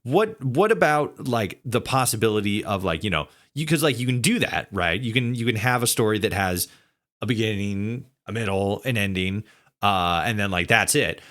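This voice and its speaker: Halting, rambling male podcast host. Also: lowish voice, animated, fairly bright tone. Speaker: podcast host interviewing a guest. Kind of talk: casual conversational interview.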